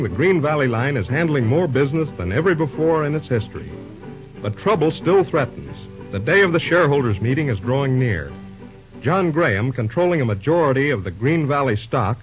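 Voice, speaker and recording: A male announcer in a formal, measured old-time radio style, introducing and narrating an episode. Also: mid-range voice. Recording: dull old radio recording with music.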